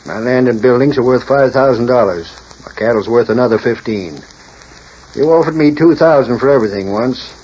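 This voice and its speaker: An actor playing a proud, bitter rancher with a blunt, curt way of talking in a 1940s radio western. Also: male voice, mid-range voice, fairly quick, a little mellow, breathy edge, natural voice. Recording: dull, hissy 1940s radio recording.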